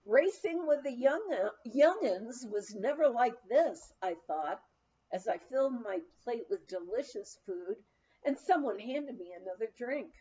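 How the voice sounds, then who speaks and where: A woman reading a humorous first-person memoir aloud as an audiobook, with animated, expressive storytelling. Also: fairly high pitch, measured pace, muffled recording. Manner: animated storytelling, acting out quoted dialogue. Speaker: audiobook reader.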